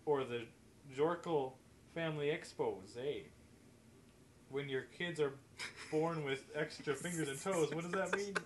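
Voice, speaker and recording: A man voicing a brisk, bossy, wry small-town newspaperwoman in a comic amateur radio play reading. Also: a little high, noisy background, close to an everyday voice. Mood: musing, gossipy.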